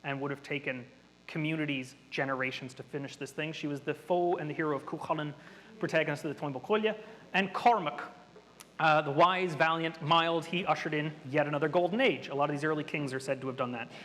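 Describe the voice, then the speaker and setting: Man, workshop presenter, high voice, nerdy explainer, echoing hall. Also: quick pace, fairly bright tone. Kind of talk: interactive workshop talk. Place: hall with an audience. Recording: reverberant hall.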